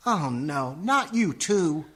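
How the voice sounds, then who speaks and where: Woman playing an earnest, animated grown son. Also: low-pitched, takes it slowly, heavily acted. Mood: defiant. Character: earnest, defiant grown son turned country singer. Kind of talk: comic radio play acting.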